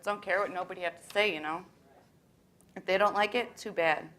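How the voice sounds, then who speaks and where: A woman's voice: lowish voice, a little fast, fairly bright tone, natural voice. A young woman acting out scripted monologue lines in a student readers theater show. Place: college library event. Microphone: worn microphone.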